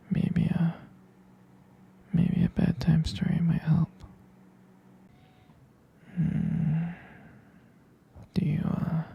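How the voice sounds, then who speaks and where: Whispering, gravelly, soothing ASMR boyfriend. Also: male voice, fairly high pitch, muffled recording. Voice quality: breathy, husky whisper. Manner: soothing, tender, hesitant and halting. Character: tender, protective boyfriend lulling partner to sleep. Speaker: ASMR roleplay performer. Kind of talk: boyfriend ASMR bedtime roleplay. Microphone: close microphone.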